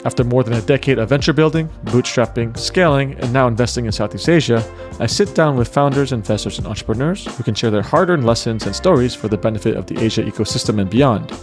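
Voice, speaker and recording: A man hosting an interview podcast, reading a measured intro and then asking questions in a friendly, chatty way. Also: mid-range voice, soft background music. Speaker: podcast host. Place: Kuala Lumpur.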